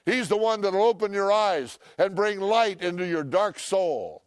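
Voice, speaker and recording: An older man preaching a sermon, loud and emphatic, swinging between shouts and hushed asides. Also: high-pitched, animated, a little slow, smooth, no room sound. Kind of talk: impassioned sermon.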